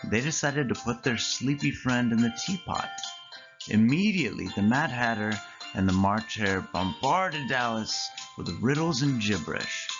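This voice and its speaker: A storyteller reading a scripted children's story aloud in measured phrases with deliberate pauses. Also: mid-range voice, lively intonation, muffled recording. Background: clear background music.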